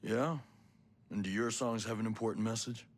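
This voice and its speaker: A man playing a gruff, wry special-ops soldier in a video game cutscene, dry and guarded in manner. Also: on the low side, normal speed, lightly acted. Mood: cool, curious.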